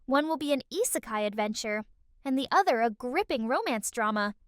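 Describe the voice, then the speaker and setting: High, animated woman host. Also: a little fast, no echo, clean studio sound. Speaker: host announcing upcoming shows. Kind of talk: scripted promotional outro.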